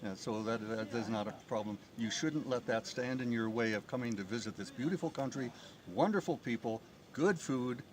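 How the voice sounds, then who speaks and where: A man's voice: medium pitch, moderate pace. A middle-aged man from the United States, a tourist guest describing his trip with enthusiasm, recorded outdoors in the mountains. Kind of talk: outdoor interview. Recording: noisy outdoor ambience.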